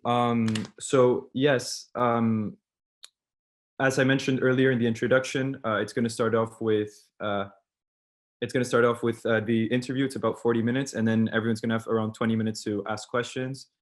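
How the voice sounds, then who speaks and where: A man's voice: mid-range voice, flat delivery, normal speed. Young male host of an online event, hesitant delivery, lots of fillers. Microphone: video call.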